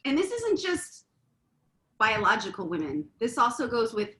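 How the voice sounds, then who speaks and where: A woman's voice: fairly high pitch, wildly swooping pitch, normal speed, fairly bright tone. A middle-aged woman co-hosting a relationship talk show, earnest and explaining her point at length with examples. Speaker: podcast co-host. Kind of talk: casual chat. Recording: echoey room, faint ambience.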